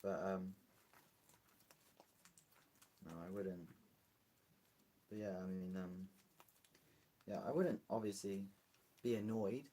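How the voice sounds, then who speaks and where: A British man talks casually on a livestream, rambling between topics as he answers viewer comments. His voice is slightly husky and sits fairly low. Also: a little slow, long pauses, dark tone. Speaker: live streamer.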